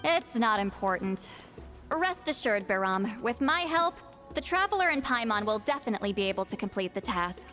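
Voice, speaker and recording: Haughty high-voiced shaman, animated. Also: normal speed. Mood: dismissive, assured. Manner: brusque, condescending. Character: blunt, haughty, irritable female shaman granny with youthful voice. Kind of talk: video game voice acting. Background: background music.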